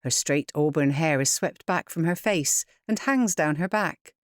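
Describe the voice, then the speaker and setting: A woman with a low voice narrates audio description from a script. Her delivery is measured and clearly enunciated, in a dry, close recording. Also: dry recording.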